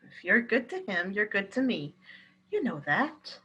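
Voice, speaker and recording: An actress in a radio play playing a loyal, spirited and protective London wife, in a theatrical style. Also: female voice, mid-range voice, very animated, a little slow, bright, forceful tone, breathy edge, muffled recording, full character voice. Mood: affectionate, brisk.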